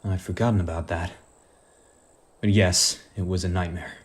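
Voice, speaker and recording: A man's voice: low-pitched, steady tone, normal speed, husky. A man playing a stubborn, guilt-ridden superhero father in a radio play, earnest and defensive. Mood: weary, contrite.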